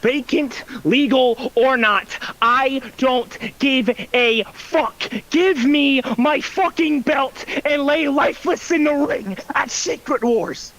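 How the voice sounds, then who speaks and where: A man's voice: very high voice, takes it slowly, hardly any pauses, a bit bright, muffled recording. A man cutting a heated, profane wrestling trash-talk promo for an online league, aggressive and taunting.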